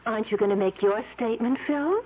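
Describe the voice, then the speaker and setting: An actress playing an affectionate, gently insistent suburban wife in a 1970s radio drama. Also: female voice, a little high, fast, lightly acted. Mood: prompting. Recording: muffled vintage radio broadcast, faint noise.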